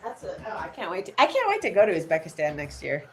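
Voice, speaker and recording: A woman from the United States co-hosting a live football stream, chatty and quick to laugh. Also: lowish voice, wildly swooping pitch, crowd noise. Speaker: co-host of a live football watch-along stream. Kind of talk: casual chat.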